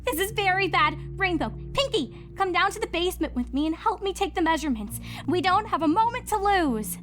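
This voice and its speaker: A woman voicing an earnest, excitable young female pony student who speaks precisely and emphatically in a storybook reading. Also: extremely high pitch, fairly quick, soft background music, voice pushed up, heavily acted. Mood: anxious, commanding.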